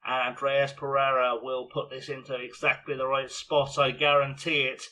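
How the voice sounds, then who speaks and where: A man with a scratchy, hoarse voice giving chatty, self-mocking play-by-play commentary on a football management game. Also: mid-range voice, level tone, normal speed, bright, forceful tone. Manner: chatty, self-mocking, narrates match action. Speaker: video game streamer.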